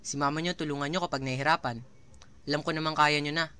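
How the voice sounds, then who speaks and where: A man's voice: a little high, steady tone, fast, pushed and bright, clear, resonant tone. A man playing a loving, struggling father who talks earnestly to his children in an amateur radio drama. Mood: tender, earnest. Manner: tender, earnest.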